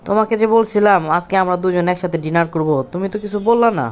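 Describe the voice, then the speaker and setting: A man's voice: high voice, fast talker, lightly acted. A man playing an irritable, scornful two-timing husband and office boss in a cartoon drama, curt in manner. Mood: coaxing. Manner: scolding, curt.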